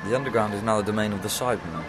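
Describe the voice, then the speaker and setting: A man's voice: fairly low pitch, racing, over music, natural voice. A man playing a weary, wry time-traveling Doctor with dry, understated humor. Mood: resigned. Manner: dry, gloomy, understated wit. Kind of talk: fan audio drama acting.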